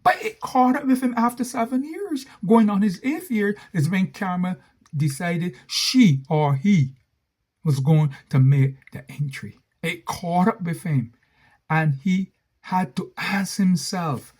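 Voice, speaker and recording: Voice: mid-range voice, slow, a little mellow, husky. A storyteller, telling a cautionary tale with emphatic repetition and asides to the listener. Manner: emphatic, repeats key phrases, asides to listener.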